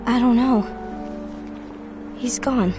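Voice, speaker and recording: Anxious dutiful young heroine from a fantasy game, high voice, very earnest, music behind. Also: female voice, slow. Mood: subdued, worried. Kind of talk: dramatic video game voice acting.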